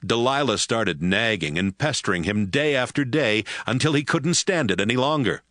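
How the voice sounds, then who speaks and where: A man narrating scripture in a steady, even storytelling manner. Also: mid-range voice, moderate pace, no room sound, studio quality.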